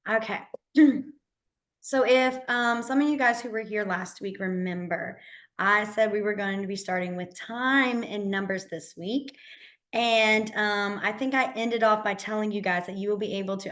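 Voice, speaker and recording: A woman with a hoarse, partly lost voice, teaching a beginner sign language class online in a chatty, encouraging way. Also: medium pitch, steady tone, normal speed, fairly bright tone. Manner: chatty, encouraging, many fillers.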